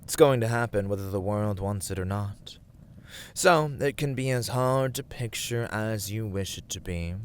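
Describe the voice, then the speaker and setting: A man playing an arrogant, teasing villain warlord who craves control, in a theatrical ASMR roleplay, with breathy, mocking, drawn-out delivery. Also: on the low side, slight background noise, natural voice. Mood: smug persuasion. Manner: haughty, languid, mocking, drawn-out words.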